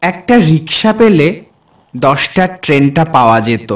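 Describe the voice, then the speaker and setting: A man's voice: fairly high pitch, big pitch swings, unhurried. A man playing a kind, earnest village doctor who narrates a dramatic cartoon ghost story in the first person. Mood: reflective. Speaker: narrator and lead character.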